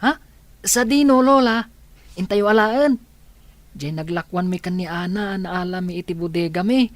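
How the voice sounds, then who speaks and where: A woman's voice: lowish voice, moderate pace, dry recording, lightly acted. A woman playing a kind, protective older-brother boy in an audio drama, plain-spoken and questioning. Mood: puzzled.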